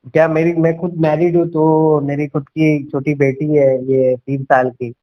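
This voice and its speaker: High-voiced man on a persuasive sales call. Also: flat delivery, a little slow. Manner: persuasive, repeats himself, mixes in English words. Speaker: sales coach making a sales call. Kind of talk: conversational phone sales pitch. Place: at home. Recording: dull lo-fi call recording.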